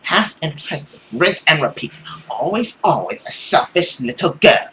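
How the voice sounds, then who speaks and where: A man voicing a menacing, domineering mother who accuses and commands with drawn-out scorn in a visual novel. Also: on the high side, very animated, pushed and bright, a little hiss or hum, pitched above the performer's own voice, heavily acted, low-quality recording. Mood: scornful. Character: menacing, scornful, domineering mother haunting her daughter's mind.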